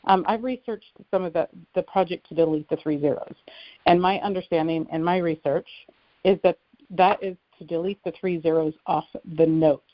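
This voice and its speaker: Female caller, lowish pitch, earnest explainer, conversational, on a dry, slightly muffled conference line. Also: fairly even intonation, moderate pace, no room sound. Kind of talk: casual explanatory call-in talk. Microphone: telephone conference line.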